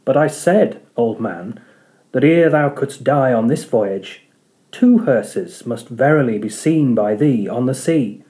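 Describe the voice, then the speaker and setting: A man's voice: mid-range voice, lively intonation, slow pace, dark tone. A man reading a storybook part as a mysterious, ominous harpooner who prophesies solemnly in archaic speech.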